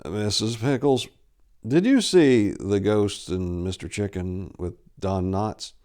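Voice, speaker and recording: A man playing a drunk, irritated young priest in a story reading, placating with halting, weary politeness. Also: on the low side, a little mellow, clean tone.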